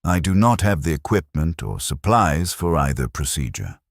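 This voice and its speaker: A man's voice: very deep voice, big pitch swings, normal speed, creaky, no echo, studio quality, heavily acted. A man voicing a grim, resigned military medical officer in a clinical, blunt and formal way for a war story. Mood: grave, resigned.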